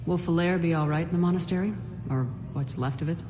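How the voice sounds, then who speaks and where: An actress playing a steady, concerned military commander in a video game, direct and supportive. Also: female voice, lowish voice, quick pace, soft background music, lightly acted.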